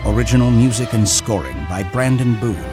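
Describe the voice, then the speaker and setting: A man's voice: mid-range voice, animated, fairly quick. A man reading scripted podcast credits as an announcer. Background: music.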